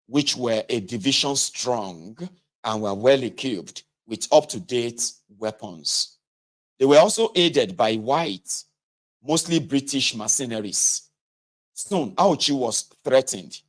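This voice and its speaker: A man reading a memoir aloud in a measured, deliberate way, pausing between short phrases. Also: medium pitch. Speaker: reader of a memoir. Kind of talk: audiobook-style reading aloud of a war memoir.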